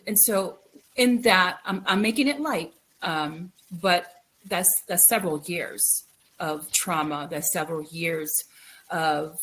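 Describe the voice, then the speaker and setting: A middle-aged woman from the Philippines giving a candid, reflective personal account as an interview guest, halting and repeating phrases for emphasis. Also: on the low side, fairly bright tone. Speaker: guest, author. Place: indoor room, video call. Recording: noisy video call.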